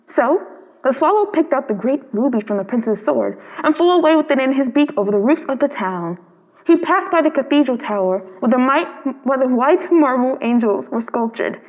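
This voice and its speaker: A woman narrates a storybook in a high voice. She reads from a script as a storyteller. The recording carries a long reverb.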